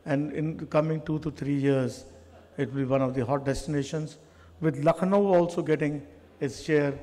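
Middle-aged association head, halting podium speech, long hall reverb. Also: male voice, a little high, steady tone, warm tone. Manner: halting, stretches out key words. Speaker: president of a tour operators association. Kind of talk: conference speech. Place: large conference hall, at a podium. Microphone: podium microphone.

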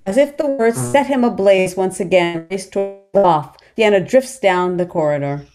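A woman's voice: mid-range voice, moderate pace, music in the background. A woman reading stage directions as scripted narration in a table read.

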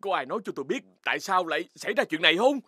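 A man voicing an excitable, fussy grown-up in a children's cartoon, with an exclamatory delivery. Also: high-pitched, very fast, husky, no echo, studio quality, heavily acted. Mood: agitated. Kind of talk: Vietnamese children's cartoon dubbing.

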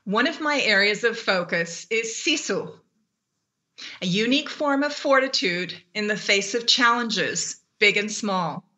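A middle-aged woman from Canada moderating a panel, speaking in a clear, measured way over a video link with an earphone mic. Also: medium pitch, animated, pushed and bright. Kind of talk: scripted panel introduction. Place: plain room, remote video link. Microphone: earphone headset mic.

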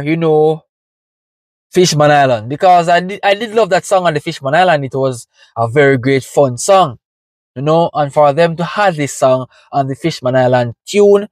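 Slow-talking, effusive Jamaican man. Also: a little high, darkish tone, no echo. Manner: effusive, enthusiastic, slangy. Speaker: music reaction video host. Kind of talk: casual reaction commentary. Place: inside a parked car.

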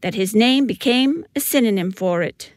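A woman's voice: a little high, animated, normal speed, a little hiss or hum. A woman reading scripted comic narration with theatrical, wry emphasis. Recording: music behind the voice.

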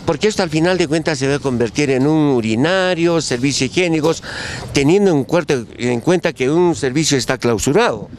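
A male field reporter speaks in a high voice, improvising as he goes. Faint traffic and street noise sit behind him. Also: brisk. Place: busy city street, outdoors. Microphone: handheld microphone.

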